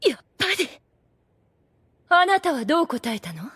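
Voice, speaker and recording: A woman's voice: sits high, very animated, moderate pace, a bit bright, no echo. A woman playing a haughty, scheming demoness in an anime audio drama, with imperious, refined feminine phrasing. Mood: probing, imperious. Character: haughty, scheming, obsessively devoted demoness overseer.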